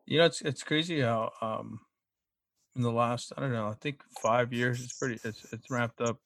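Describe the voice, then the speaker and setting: A young man in casual chat, speaking haltingly with many restarts and frequent throat clearing. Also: mid-range voice, steady tone, a bit bright, no echo.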